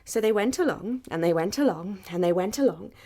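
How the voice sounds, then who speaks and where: A woman narrates a children's fairy tale with very lively, swooping intonation. Her delivery is expressive and rhythmic, at a middling pitch. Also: a little fast. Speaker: storyteller.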